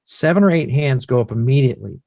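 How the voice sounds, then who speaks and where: Narrator: male, mid-pitched, scripted stage-direction reading, very dry recording. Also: lively intonation, fast, creaky, warm tone, no echo.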